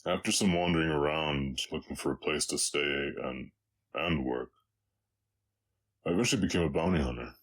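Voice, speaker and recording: An extremely low-voiced orc cowboy, acted by a man, talks with a slight Southern drawl. He is weary and gentle, pausing often as he reflects, as if confiding in one listener in an intimate audio drama. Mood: reflective, subdued. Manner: halting, reflective, soft-hearted, pausing often.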